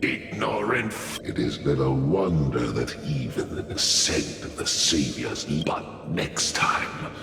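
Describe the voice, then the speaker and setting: An actor playing a fanatical video game villain who preaches annihilation in a grandiose, menacing, theatrical way. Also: male voice, extremely low pitch, sing-song, slow pace, creaky, hardly any pauses, heavily acted. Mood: scornful. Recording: distant echoing voice under loud music.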